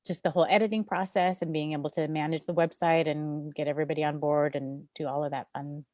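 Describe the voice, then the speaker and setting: A low-voiced woman chats as a guest over a video call, with a dry, close sound. She talks earnestly and gushes with enthusiasm. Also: little pitch movement, quick pace, a little mellow, dry recording. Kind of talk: casual chat.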